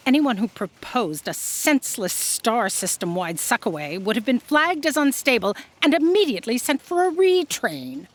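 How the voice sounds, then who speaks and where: A woman's voice: fairly high pitch, very animated, moderate pace, few pauses. A woman playing an imperious, self-serving female boss in a scripted comedy audio drama, pompous and authoritative. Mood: haughty.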